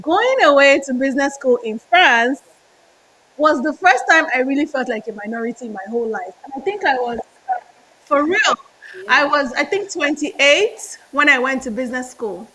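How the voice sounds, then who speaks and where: Brief: Nigerian woman in her thirties, podcast guest, high bright voice, lively and laughing. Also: moderate pace, slight background noise, rough recording. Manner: animated, laughs often, restarts phrases. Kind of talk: casual interview storytelling.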